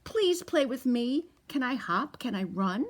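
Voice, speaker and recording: A woman voicing a small, lonely young bunny in a children's storybook, asking gently to play. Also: sits high, very animated, measured pace, pitched above the performer's own voice, exaggerated voice. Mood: pleading. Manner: pleading, gentle.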